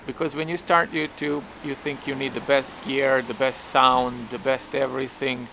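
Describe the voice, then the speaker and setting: A man's voice: mid-range voice, flat delivery, moderate pace, vocal fry, sharp tone, slight background noise, low-quality recording. A young man with a Serbian accent talking to camera in a hesitant, self-questioning way, often restarting his sentences, recorded outdoors while waiting for a taxi. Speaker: vlogger. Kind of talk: casual thinking-aloud vlog.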